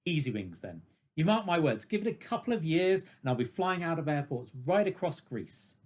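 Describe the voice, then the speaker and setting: A woman's voice: low-pitched, normal speed. A woman with a British accent playing an eager, apologetic, ambitious young man in a comic radio play. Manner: excitable, earnest, apologetic.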